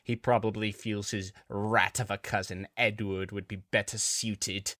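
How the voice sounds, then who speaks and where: A man's voice: fairly low pitch, level tone, measured pace, sharp tone, no room sound, studio quality. Actor as a pompous, fussy nobleman groom. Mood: haughty, anxious. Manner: haughty, fussy, complaining. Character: pompous, anxious nobleman groom in fantasy tale. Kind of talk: fantasy audio drama acting.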